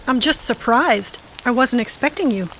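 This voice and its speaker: A stubborn, wry woman with a slightly high voice. Her delivery is colloquial and sardonic, in audio drama style. Light rain and ambience sit behind her. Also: animated, moderate pace, a bit bright, a little hiss or hum, poor audio. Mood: wry, surprised.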